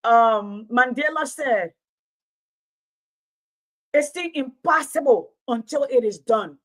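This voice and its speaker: Woman near sixty, fairly high voice, fiery commentator who preaches in rhetorical runs. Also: animated, slow, poor audio. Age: 50s. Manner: preaching, repetitive rhetorical build-ups. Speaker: commentator and Pan-Africanism teacher. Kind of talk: impassioned livestream commentary.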